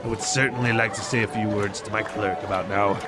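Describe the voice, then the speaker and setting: A man's voice: on the low side, fairly even intonation, fairly quick, over loud music, close to an everyday voice. A man playing a grumpy, fearful old miser in a theatrical audio drama, exclaiming and stammering. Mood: wistful. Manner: exclaiming, stammering when frightened.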